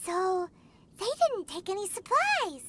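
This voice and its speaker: A woman voicing a tiny, chirpy, childlike fairy companion who is nosy and excitable in a game. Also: very high-pitched, takes it slowly, slight background noise, full character voice. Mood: curious. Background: soft game music.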